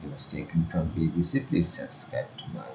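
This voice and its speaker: A man narrating a scripted news report in a steady read-aloud delivery. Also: extremely low pitch, normal speed, slight background noise. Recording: dull lo-fi audio.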